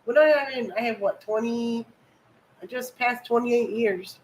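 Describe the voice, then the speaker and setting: A woman's voice: on the high side, a little slow, darkish tone, low-quality recording. A woman in her fifties co-hosting a casual live chat, cheerfully reading out viewer comments. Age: 50s. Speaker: co-host.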